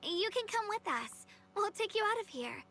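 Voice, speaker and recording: A woman voicing a kind, earnest young female disciple in a video game, gentle and polite. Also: very high voice, very animated, normal speed, a little hiss or hum, exaggerated voice. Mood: reassuring, kind.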